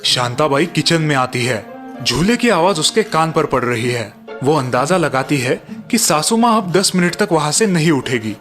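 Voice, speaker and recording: A man's voice: on the high side, animated, moderate pace, music in the background. A man reading scripted narration for a cartoon story.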